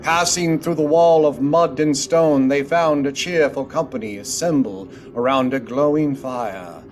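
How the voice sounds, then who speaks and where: A man's voice: fairly high pitch, unhurried, natural voice. A man narrating a story theatrically, as in a scripted radio play. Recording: music under the voice.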